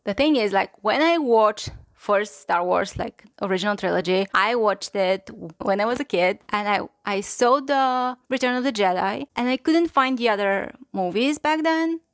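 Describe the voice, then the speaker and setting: An enthusiastic woman with a Bulgarian accent hosts a casual book review. She chats freely and gushes over her favourites in a mid-pitched voice. The sound is close and dry, with no room echo. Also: lively intonation, moderate pace, hardly any pauses, clean tone. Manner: enthusiastic, gushing, chatty with many "like"s. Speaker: book channel host.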